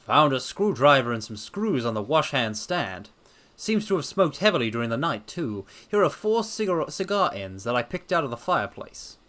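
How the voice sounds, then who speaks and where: A man's voice: medium pitch, very animated, moderate pace, fairly bright tone. A man voicing an earnest, sceptical police inspector in a dramatic reading, reporting in a matter-of-fact way. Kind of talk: dramatic reading of a detective story.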